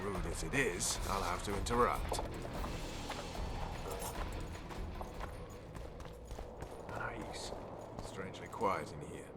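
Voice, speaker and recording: An actor playing a hot-headed, battle-hardened commando leader in a video game, with a blunt, urgent manner. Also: male voice, sits low, normal speed, noisy background, natural voice. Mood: dry, guarded.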